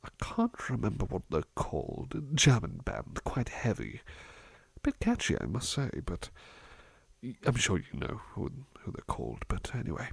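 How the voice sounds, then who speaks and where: A man playing a gentle, devoted butler in an intimate ASMR roleplay, soft-spoken, courteous and reassuring, with hesitant phrasing. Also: fairly low pitch, huge pitch swings, normal speed, gravelly, husky, dry recording, heavily acted. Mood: gently chatty. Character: gentle, devoted, polite butler attending to a master.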